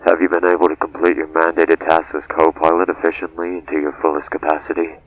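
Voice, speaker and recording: A man playing a weary, introspective space freighter captain in a game fan dub, speaking in a measured, earnest way. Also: rumbling low, completely flat delivery, highs cut off. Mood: casual, probing. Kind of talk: dramatic game fandub dialogue.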